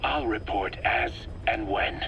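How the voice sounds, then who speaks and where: An actor playing a weary, defensive American base commander, bitter yet protective, in a video game drama, earnest and brooding, on a tape recording. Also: male voice, fairly low pitch, very animated, slow pace, gravelly, muffled recording, full character voice. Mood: guarded, terse. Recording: faintly noisy cassette tape recording.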